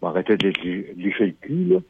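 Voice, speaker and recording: A Québécois man in his thirties rambles into a telephone handset, hesitant and full of fillers. His low voice sounds husky, and the line comes through dull and muffled. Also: big pitch swings, brisk. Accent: Québécois French. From Quebec. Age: 30s. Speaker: radio caller. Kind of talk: casual phone-in chat.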